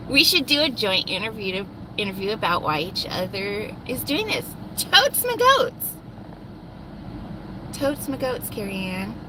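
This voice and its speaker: A woman hosting a livestream from inside a moving car, chatting in a rambling, self-deprecating way, often laughing and trailing off, with a naturally loud voice. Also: on the high side. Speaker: livestream host. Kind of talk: rambling casual livestream chat. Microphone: phone. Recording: distant voice over loud road noise.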